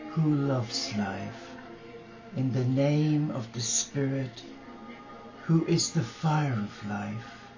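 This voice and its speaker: A man leads a spiritual meditation in a gentle, reverent manner. He speaks very slowly, in short phrases separated by long pauses. The recording is slightly dull and lo-fi, with meditative music clearly audible behind his voice. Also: medium pitch. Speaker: religious brother leading a prayer vigil. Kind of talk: guided meditation and prayer.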